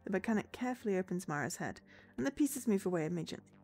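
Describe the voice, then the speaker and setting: A woman's voice: medium pitch, expressive, very fast, darkish tone. A woman streamer reading a visual novel aloud as dramatic narration. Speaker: game streamer. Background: game music.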